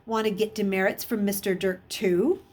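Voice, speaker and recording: A woman voicing a pushy, bullying schoolboy in an audiobook, loud and insistent in manner. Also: mid-range voice, steady tone, moderate pace, close to an everyday voice. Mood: taunting, explosive. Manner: protesting, taunting, hollering.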